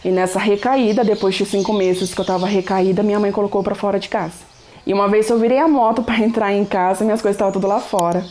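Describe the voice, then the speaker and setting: A woman's voice: medium pitch, fairly even intonation, quick pace, a little mellow, a little hiss or hum. A young Brazilian woman gives a reflective personal testimony, often ending phrases with tag questions. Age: young adult. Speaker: resident sharing her testimony.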